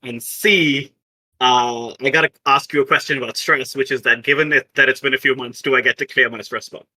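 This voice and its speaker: A man with a very bright, forward voice of average pitch talks casually as a player at a tabletop game. He reasons out loud in a deliberative way, working through his options. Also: fairly even intonation, fairly quick, rough recording.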